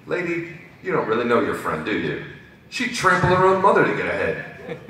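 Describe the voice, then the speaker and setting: A man's voice: mid-range voice, wildly swooping pitch, normal speed, bright, forceful tone, roomy sound, distant mic, pitched above the performer's own voice, poor audio. A man voicing a blunt, scornful working-class pony with slangy speech and a Brooklyn accent. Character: brash, scornful working-class stadium reserve ponies.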